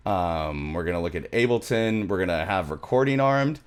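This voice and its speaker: A man's voice: sits low, animated, moderate pace, clear, resonant tone. A middle-aged man giving a casual music production tutorial, chatting enthusiastically and interrupting himself as he goes, close on a studio mic. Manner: rambling, enthusiastic, self-interrupting. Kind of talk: casual screen-share tutorial chat. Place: small indoor home studio. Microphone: close studio microphone, headphones on.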